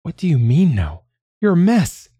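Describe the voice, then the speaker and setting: A man puts on a young storybook lad, anxious for a friend. The voice is lifted high and sounds urgent, with very little room around it. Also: very animated, unhurried, darkish tone, slightly rough, no room sound, full character voice. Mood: urgent alarm. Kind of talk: dramatised audiobook narration.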